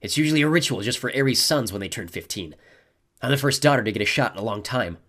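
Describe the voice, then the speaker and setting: A man's voice: medium pitch, expressive, quick pace, a bit bright. A man voicing a tough, angry teenage warrior girl who growls and snaps defensively. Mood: grumbling. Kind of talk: audiobook character voice.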